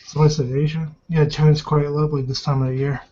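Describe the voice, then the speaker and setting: A man's voice: fairly high pitch, little pitch movement, quick pace, dark tone, muffled recording. A man talking casually as a player in a tabletop roleplaying game.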